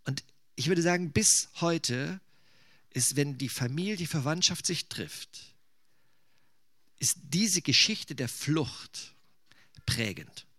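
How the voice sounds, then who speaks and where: A man's voice: on the high side, lively intonation, takes it slowly, long pauses, sharp tone, dry recording, studio quality. A man from eastern Germany preaching in a conversational, musing way, with casual asides. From Thuringia, eastern Germany. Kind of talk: sermon.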